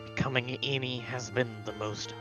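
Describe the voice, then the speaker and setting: A man's voice: medium pitch, steady tone, moderate pace, dull audio, music in the background, close to an everyday voice. A man voicing a bitter, resentful woman villain in a comic fan dub.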